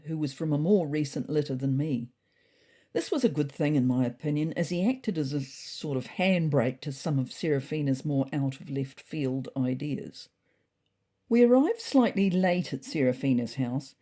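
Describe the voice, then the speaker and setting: Woman as wry ginger cat diarist, low husky voice. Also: warm tone. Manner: dry, wry, mildly exasperated. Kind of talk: scripted comic diary narration.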